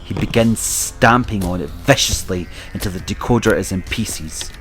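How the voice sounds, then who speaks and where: Male narrator for audio drama, low-pitched, scripted storytelling. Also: moderate pace, over music.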